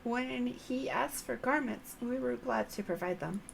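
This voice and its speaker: Woman voicing a very slow, courteous insectoid elder. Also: fairly high pitch, vocal fry, a little hiss or hum. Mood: solemn, measured. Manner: formal, archaic, drawn-out phrasing. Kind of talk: game dialogue read aloud.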